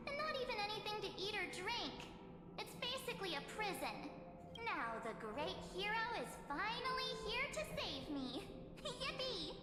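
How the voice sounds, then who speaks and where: A perky teenage girl sidekick from an anime-style game, high and swooping. She gushes and nags with cheerful impatience, in an echoey space with music behind. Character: bubbly, chatty teenage girl companion, cheerfully pushy.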